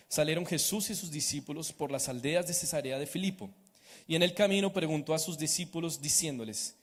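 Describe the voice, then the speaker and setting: A man's voice: on the high side, big-room sound. A man interpreting a sermon into Spanish phrase by phrase and reading scripture aloud in church. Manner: renders each phrase right after the preacher.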